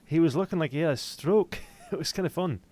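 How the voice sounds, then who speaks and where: A middle-aged man co-hosting a livestream in casual, halting, jokey chat, often interrupting himself. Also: a little high, dark tone. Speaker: co-host of a livestream.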